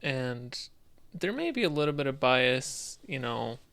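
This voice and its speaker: Smooth-voiced man chatting casually about games. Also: mid-range voice, sing-song, a little slow, fairly bright tone. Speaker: podcast co-host. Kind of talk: casual video game discussion.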